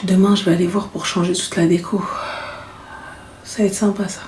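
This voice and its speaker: A woman's voice: lowish voice, little pitch movement, fairly quick, a little mellow, roomy sound, slight background noise. A woman hosting a live call-in chat, giving advice bluntly and familiarly, with slang and terms of endearment. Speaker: live show host giving callers advice.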